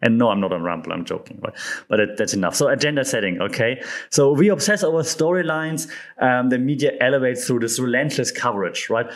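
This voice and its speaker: A man's voice: medium pitch, fairly quick. German man hosting a casual podcast. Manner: animated, joking asides, frequent "right?". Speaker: podcast host. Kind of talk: casual chat. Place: living room at home. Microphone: studio mic on stand in front.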